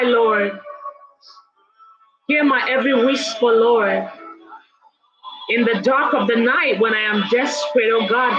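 A woman leading a congregation in prayer and worship, with slow, fervent, drawn-out phrases that slip into singing. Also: fairly high pitch. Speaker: worship leader. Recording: dull lo-fi recording with soft music behind.

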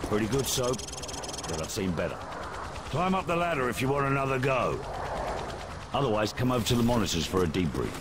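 A man's voice: mid-range voice, very animated, a little fast, pauses often, background noise, natural voice. A man playing a blunt, commanding British special forces captain in a military video game. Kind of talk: video game dialogue, giving orders.

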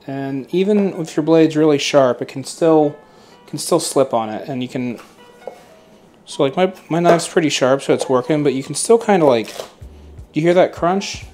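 A man's voice: a little high, normal speed, soft background music. A man in his thirties hosting a cooking video in his home kitchen, talking casually with lots of fillers and the odd swear word. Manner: relaxed and chatty, many fillers, mild swearing. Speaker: cooking channel host.

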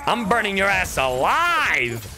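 A man's voice: sits high, huge pitch swings, unhurried, music in the background. A man doing casual, profane livestream gaming commentary, exclaiming and muttering to himself. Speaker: streamer, video game player. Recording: game sound behind the voice. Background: video game ambience.

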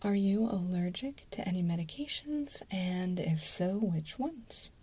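A woman playing a mild, businesslike intake assessor in an ASMR role-play, in a soft breathy whisper with a methodical, slightly hesitant manner. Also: on the low side, expressive, unhurried, a little hiss or hum. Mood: calm, methodical. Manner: methodical, hesitant, matter-of-fact. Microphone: close microphone.

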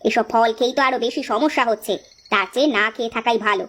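A woman voicing a blunt, sharp-tongued village wife in a cartoon, with a scolding manner. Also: on the high side, fast talker. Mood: sulky, reproachful. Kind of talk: cartoon dialogue acting.

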